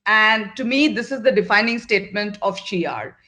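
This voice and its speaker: A woman's voice: medium pitch, moderate pace, sharp tone. A middle-aged woman from Northeast India, a managing partner at an agency, answering interview questions in an earnest, direct way, often repeating words for emphasis.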